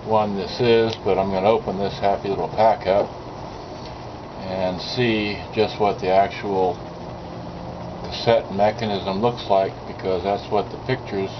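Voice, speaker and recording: A man with a lowish voice talks very slowly, rambling and hesitating as he unboxes something. The sound comes from a cheap camera's built-in mic, dull and lo-fi, with clear background noise from the outdoor spot. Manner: rambling, hesitant, many fillers and restarts. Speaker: vlogger unboxing cassette tapes. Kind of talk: casual unscripted unboxing commentary. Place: outdoor table by a sunny window. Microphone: built-in mic of a small digital camera.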